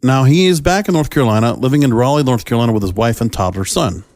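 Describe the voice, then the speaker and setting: A male podcast host delivers solo commentary in a mid-pitched voice. He speaks in short, halting phrases, and the sound is dry with very little room around it. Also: lively intonation, a little mellow, dry recording.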